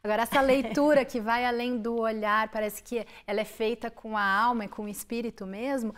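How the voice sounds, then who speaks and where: A woman interviewer who frames long, careful questions for her guests, lifting her voice to stress key words. Her voice sits a little high. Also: steady tone, brisk. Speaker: interviewer host.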